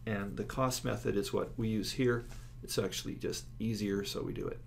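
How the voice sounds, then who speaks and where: A man's voice: lowish voice, moderate pace. A man giving an instructional lecture, explaining slides step by step and stressing key words. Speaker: accounting instructor. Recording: clear steady background noise.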